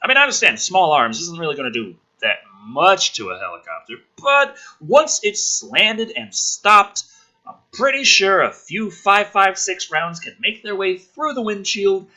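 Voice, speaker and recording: A man's voice: high voice, huge pitch swings, moderate pace, sharp tone. A man hosting a channel, giving a sarcastic, theatrical script reading with exasperated, foul-mouthed commentary. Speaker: host of a gaming and film channel.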